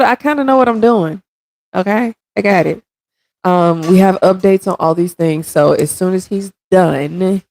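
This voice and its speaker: A woman in her thirties hosting a casual livestream, congested and with a raspy throat, chatting directly with viewers and reading their comments. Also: deep voice, warm tone, dry recording, low-quality recording. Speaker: stream host.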